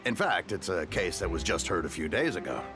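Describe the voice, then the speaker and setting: A man playing a polite, opinionated local townsman in an anime video game, with an expressive manner. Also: sits low, a little fast, music in the background. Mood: eager, correcting.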